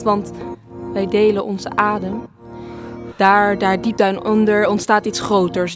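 A woman reciting her own poetry as a spoken word poet. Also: mid-range voice. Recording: music behind the voice.

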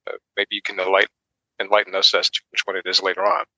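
A man's voice: fairly low pitch, very animated, fast talker, vocal fry, bright, forceful tone, no echo, poor audio. A man co-hosting a podcast, giving a casual book summary in an informal, tentative way. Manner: tentative, informal, hedging as he explains. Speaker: podcast co-host.

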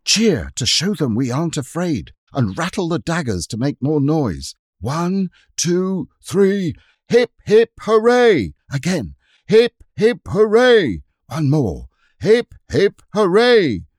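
A man's voice: on the high side, huge pitch swings, slow, no room sound, studio quality, pitched above the performer's own voice, full character voice. A man doing a bold, defiant schoolboy. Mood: cheering. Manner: shouty, defiant.

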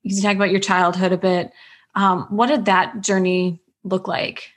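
Flat-voiced female interviewer. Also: mid-range voice, normal speed.

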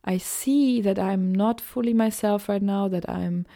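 A woman with a German accent, a native German speaker, talking gently in a solo spiritual podcast and dropping into soft whispered asides. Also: medium pitch, measured pace, gravelly. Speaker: podcast host.

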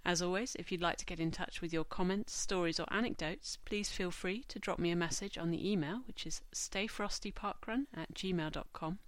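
A woman's voice: on the low side, dry recording. Stuffed-up woman podcasting. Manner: measured, informative, reads lists slowly. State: stuffy from a cold. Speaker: podcast host. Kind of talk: scripted podcast monologue.

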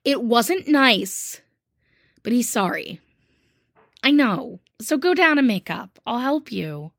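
A woman's voice: a little high, lively intonation, gravelly, a bit bright, voice pushed up, full character voice. A woman reading aloud, voicing a frank, spirited young woman who coaxes and scolds a friend. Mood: coaxing. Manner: coaxing, brisk.

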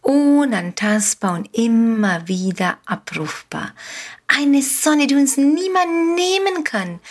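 A woman with a Spanish accent, a native Spanish speaker from Uruguay, hosting a motivational podcast with slow, repetitive, emphatic phrasing. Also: high voice, lively intonation. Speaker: podcast host, coach. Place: home studio on an island.

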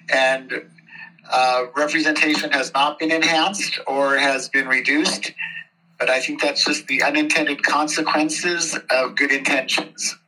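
A man giving unscripted, measured and diplomatic interview answers as a board official. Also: on the high side, bright, forceful tone, low-quality recording. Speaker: school board president being interviewed.

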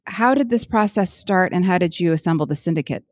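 A woman's voice: on the low side, lively intonation, a little fast, no echo, clean studio sound. A woman hosting an interview, asking conversational questions in a curious, engaged way. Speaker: podcast interviewer, host.

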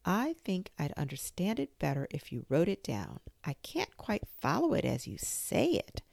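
A woman voicing a polite, curious young girl in a storybook reading, soft-spoken. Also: on the low side, huge pitch swings, moderate pace, gravelly, no echo, clean studio sound, pitched above the performer's own voice, heavily acted.

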